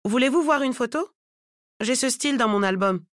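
A woman voicing a calm, polite African woman who runs a salon, in an even, amiable tone, in an audiobook. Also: a little high, moderate pace, dry recording, studio quality, pitched above the performer's own voice, natural voice. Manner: even-toned, courteous.

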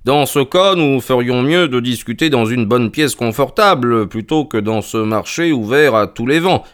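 A man voicing a shrewd detective in an audiobook, courteous and commanding, with deliberate, articulate delivery. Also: medium pitch, animated, smooth, pitched above the performer's own voice, natural voice. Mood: smooth, assured. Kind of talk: audiobook character voice.

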